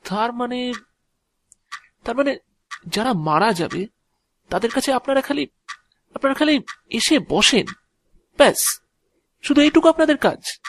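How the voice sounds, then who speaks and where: A man playing a nervous, bewildered everyman in an audio drama, halting and stammering as he asks questions. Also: very high-pitched, expressive, full character voice. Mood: questioning, uneasy. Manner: halting, stammering, repeats words. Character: ordinary man facing death, nervous, bewildered, inquisitive. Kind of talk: Bengali audio drama acting.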